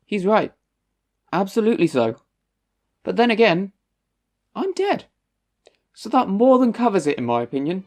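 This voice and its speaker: A woman's voice: medium pitch, very animated, normal speed, pauses often, a little mellow, close to an everyday voice. A woman playing an arrogant, self-centred male writer turned ghost in a comic drama, pompous, sarcastic and theatrical. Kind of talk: comic audio drama acting.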